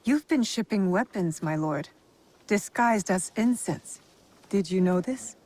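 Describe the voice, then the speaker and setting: A woman's voice: medium pitch, lively intonation, unhurried, a little mellow. An actress playing a determined young female shinobi in a video game, speaking in a cool, pointed, formal way. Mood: accusing. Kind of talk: video game cutscene acting.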